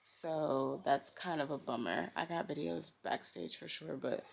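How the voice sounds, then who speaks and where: A woman speaks in a low, gravelly register with plenty of fry. She chats candidly and informally, as in a personal vlog at home, with faint noise in the background. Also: unhurried. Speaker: vlogger, costume designer. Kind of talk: casual personal vlog chat. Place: indoors at home.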